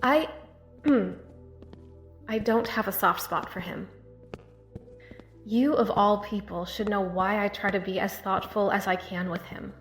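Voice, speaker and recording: A woman's voice: mid-range voice, natural voice. An actress plays a small-town sheriff in an audio drama, a protective woman with old grief. She speaks earnestly and steadily in a middle register. The sound is very reverberant, like a tunnel, with faint music underneath. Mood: sorrowful, haunted. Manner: measured, earnest, halting when emotional.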